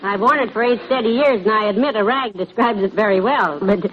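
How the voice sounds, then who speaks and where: An actress playing a patient, gently chiding wife in a 1940s radio comedy, wry and full of endearments. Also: female voice, mid-range voice, fairly quick, lightly acted. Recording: dull noisy old radio recording, studio audience.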